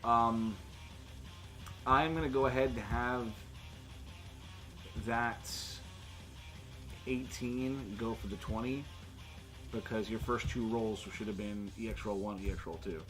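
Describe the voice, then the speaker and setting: A man's voice: medium pitch, steady tone, slow pace, long pauses, music in the background. A man acting as game master in a casual tabletop session, narrating and bantering with a joking, foul-mouthed manner.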